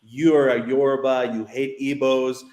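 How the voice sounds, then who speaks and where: A man's voice: mid-range voice, level tone, unhurried, darkish tone, echoey room. A man with an American accent, a guest who was once a newspaper editor, talking casually and restarting his sentences often. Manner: rambling, repeats words, many restarts. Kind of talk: casual panel discussion.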